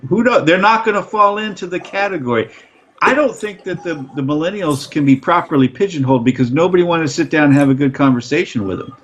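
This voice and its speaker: A man's voice: on the high side, lively intonation, fast, a bit bright. Rambling middle-aged man on a dull mic. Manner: rambling, restarts sentences, chuckles. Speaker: podcast co-host. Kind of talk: casual political chat. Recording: slightly dull lo-fi recording.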